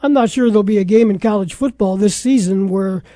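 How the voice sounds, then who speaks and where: A man's voice: sits high, brisk, mellow, no echo. A man hosting a sports talk radio show and interviewing a guest.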